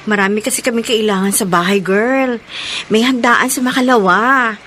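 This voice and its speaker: A woman's voice: a little high, normal speed, sharp tone, slight background noise. An actress playing a chatty, teasing married woman in a radio drama, exclaiming and gushing. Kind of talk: Filipino radio drama acting.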